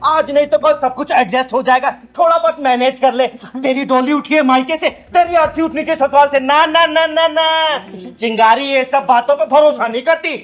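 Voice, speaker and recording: A man playing fierce, very high-pitched kinnar avenger Chingari. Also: moderate pace, a bit bright. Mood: defiant, commanding. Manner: scolding, threatening, boastful, speaks of self by name. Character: Chingari, fierce kinnar (transgender woman) avenger, protective elder. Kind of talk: melodramatic short-film acting.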